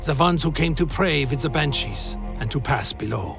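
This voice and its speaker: An actor playing a brooding undead vampire warrior, narrating his own journey in a solemn, measured way for a dark fantasy radio play. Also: fairly high pitch, expressive, gravelly. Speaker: narrator and protagonist. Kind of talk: scripted first-person dramatic narration. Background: music.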